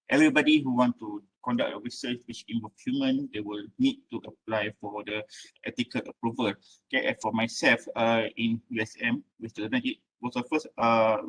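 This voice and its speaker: A man's voice: mid-range voice, a bit bright, slightly rough, rough recording. A man moderating the question session of an online academic lecture. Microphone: video call.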